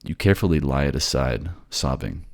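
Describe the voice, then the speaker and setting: A man's voice: very deep voice, expressive, fairly quick. A man reading scripted fantasy audio drama narration in an ASMR style. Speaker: narrator and voice actor.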